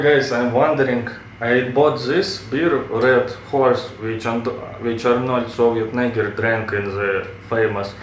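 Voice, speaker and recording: A man from Russia with a Russian accent, hosting a casual reaction video, chatty and halting in his English. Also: medium pitch, slight background noise. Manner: chatty, halting, many fillers and restarts. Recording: roomy, mic at a distance.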